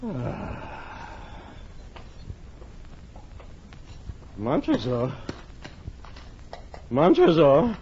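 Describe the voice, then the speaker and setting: A man's voice: fairly high pitch, wildly swooping pitch, drawn-out words, darkish tone, slight background noise, heavily acted. An actor playing a drunken, foolish, giggly Italian nobleman in the theatrical manner of 1940s radio drama. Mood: drunken puzzlement. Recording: muffled 1949 radio broadcast.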